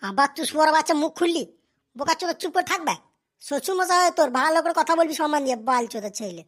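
A performer voicing an excitable, scheming village elder in a comedy cartoon, coarse and quick to scold and haggle. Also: high-pitched.

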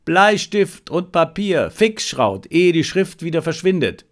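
A man voicing a brisk, analytical gentleman amateur detective in an audiobook, commanding, deliberate and precise. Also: fairly high pitch, sing-song, pitched above the performer's own voice. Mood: urgent.

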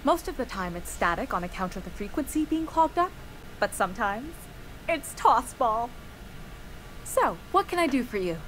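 Gushing, chatty young sports fan. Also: female voice, fairly high pitch, very animated, normal speed, a bit bright, a little hiss or hum, rough recording. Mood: wry, chatty. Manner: gushing, talkative. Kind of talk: video game character acting.

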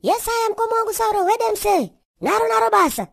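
A woman with a Zimbabwean accent voicing a cheeky, meddling young male tattletale with a raspy voice in a comedy cartoon. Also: very high voice, quick pace, no echo, clean studio sound, full character voice. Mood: gleeful, cheeky. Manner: taunting, excitable.

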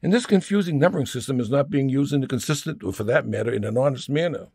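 A man with a noticeably creaky, gravelly voice reads a comic essay aloud. He is wry and mock-serious, recorded dry and close with hardly any room sound. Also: mid-range voice, fast talker, a little mellow, no echo. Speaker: humorist reading own comic essay. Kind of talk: scripted humorous monologue, read aloud.

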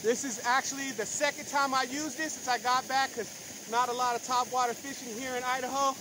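A high-voiced man in his thirties talks straight into a phone held at arm's length, outdoors by the water. His talk is loose and slangy, hyped up, with whoops after catches. The recording has a steady layer of outdoor noise behind him. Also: a little fast. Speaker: fishing vlogger. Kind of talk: casual outdoor vlog commentary. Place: outdoors by a pond and river. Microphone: phone camera at arm's length.

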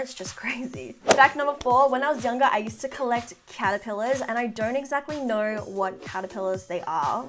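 A young woman in her twenties chatting casually to camera in a bedroom, bubbly and full of fillers. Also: mid-range voice. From half Filipino. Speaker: beauty vlogger. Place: indoor bedroom with fairy lights.

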